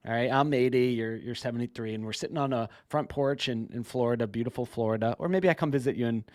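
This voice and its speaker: A man of forty hosting an interview, chatty and playful, speaking into a boom mic in a small studio. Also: quick pace, darkish tone, dry recording. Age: 40s. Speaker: podcast host. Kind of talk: casual interview chat. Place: small studio with green screen. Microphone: boom mic on arm.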